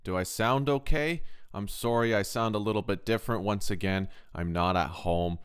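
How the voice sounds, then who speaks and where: A man hosting a casual software tutorial from a kitchen, chatty and informal, often checking in with questions. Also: on the low side, moderate pace, clean tone. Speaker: tutorial host, touring guitar tech.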